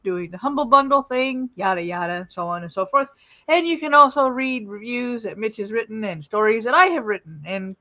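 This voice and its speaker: A woman's voice: fairly high pitch, sharp tone, highs cut off. A woman hosting a live show in a casual, informal, rambling style. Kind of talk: casual livestream hosting and plugging.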